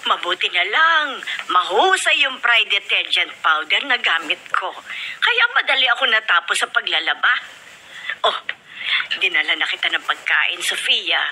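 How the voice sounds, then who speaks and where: Casting: actress for plain-talking Filipino maid in radio drama, animated, matter-of-fact, dutiful. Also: female voice, mid-range voice, moderate pace, lightly acted, rough recording. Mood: chatty, dutiful. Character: plain-spoken, dutiful female household servant. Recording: radio drama over loud music.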